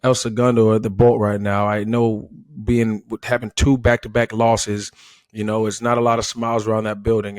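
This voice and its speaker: A man's voice: fairly low pitch, no echo. An American man analysing football off the cuff as a former player, emphatic and often checking in with "right?".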